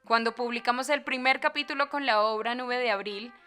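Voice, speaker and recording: A woman's voice: a little high, fairly quick, bright, forceful tone, clear, resonant tone, faint music behind. A woman with a Colombian accent hosting a podcast with scripted narration. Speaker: podcast host.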